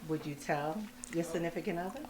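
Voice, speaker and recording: A woman hosting a casual radio talk show, chatty and upbeat, quick to laugh with her guests. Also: lowish voice, very noisy.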